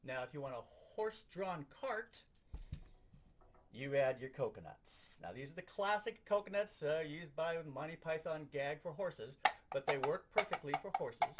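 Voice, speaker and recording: A man giving an animated, explanatory, hands-on lesson as a sound effects instructor. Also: on the high side, moderate pace, background noise.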